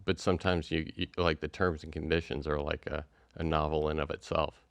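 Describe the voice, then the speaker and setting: A man's voice: rumbling low, flat delivery, fairly quick, clear, resonant tone, no echo. A man hosting a podcast interview, chatty and rambling with plenty of fillers. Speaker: podcast host.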